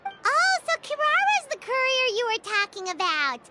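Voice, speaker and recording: A woman voicing a tiny floating female companion creature, excitable and bouncy, in a video game. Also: very high voice, moderate pace. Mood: excited. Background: game music.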